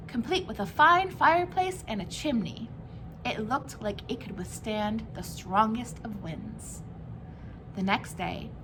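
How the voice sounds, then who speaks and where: Slow, bright-voiced woman storyteller. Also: mid-range voice, slight background noise, low-quality recording. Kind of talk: children's story read-aloud.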